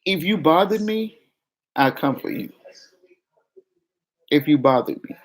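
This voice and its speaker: A woman vlogger with a fairly deep, projecting voice, talking frankly and confessionally to her viewers in a casual way. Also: animated, a little slow, poor audio. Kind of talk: candid casual talk to viewers.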